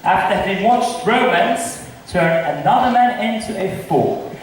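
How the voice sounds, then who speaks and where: A man's voice: sits high, expressive, a little slow. A man playing a witty, scornful bachelor who mocks love in an amateur school stage comedy. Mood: mocking. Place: large echoey indoor hall. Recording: very echoey hall from far off, hissy.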